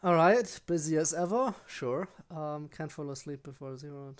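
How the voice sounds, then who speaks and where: Casual, rambling game streamer, mid-pitched voice. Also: moderate pace. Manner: rambling, chatty asides to viewers. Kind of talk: casual live stream chat while playing a game.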